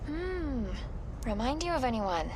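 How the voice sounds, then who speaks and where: A high, gentle woman's performance of a female AI companion spirit. She is devoted and reassuring, in a sci-fi audio drama with faint background rumble. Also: big pitch swings, unhurried. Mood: consoling.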